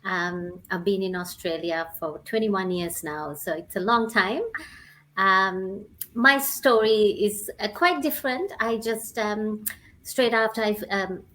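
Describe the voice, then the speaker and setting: A woman in her forties, originally from Sri Lanka, gives a personal testimonial. She speaks hesitantly, filling her pauses with many ums and uhs, at a mid-range pitch. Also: fairly bright tone, slight background noise, poor audio. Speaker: guest sharing her story.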